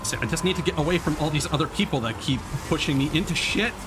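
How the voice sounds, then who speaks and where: A man's voice: on the high side, expressive, fast talker, background noise. A man giving live video game commentary as a streamer, sweary and grumbling as he talks. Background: racing game music and engine sounds.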